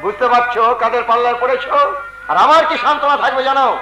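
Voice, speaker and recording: A brave, righteous working-class hero in a jatra. He declaims with open emotion in a high-pitched voice. Music plays behind the echoing stage sound. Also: fast, dull audio. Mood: defiant, threatening. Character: righteous, protective rickshaw puller, brave and sentimental. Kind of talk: Bengali jatra folk-theatre acting. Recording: echoey stage recording with music.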